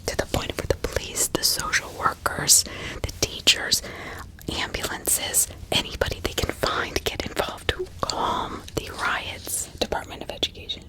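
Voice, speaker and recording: A woman whispering ASMR true-crime commentary in a breathy, unvoiced, conversational way with emphatic repetition. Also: a little slow, rough recording. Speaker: ASMR host.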